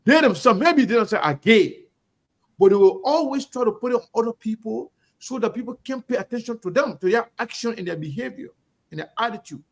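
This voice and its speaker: Middle-aged Liberian man, Liberian English, high gravelly voice, emphatic talk-show host rhythm. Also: lively intonation, fairly quick. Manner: emphatic, repetitive, preacher-like cadence. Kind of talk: impassioned monologue.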